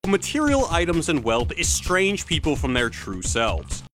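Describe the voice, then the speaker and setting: A man hosting an English lesson, reading sentences slowly and deliberately for listeners to repeat. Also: a little high, huge pitch swings, a bit bright, a little hiss or hum. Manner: clear, deliberate drill delivery. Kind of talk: slow repeated model reading. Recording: music underneath.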